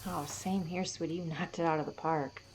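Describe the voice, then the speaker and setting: A woman's voice: deep voice, fairly even intonation, normal speed, a little mellow, a little hiss or hum. An actress playing a sweet, doting, folksy middle-aged mother who loves to chat, in an audio drama. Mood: affectionate, relaxed. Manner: folksy, affectionate.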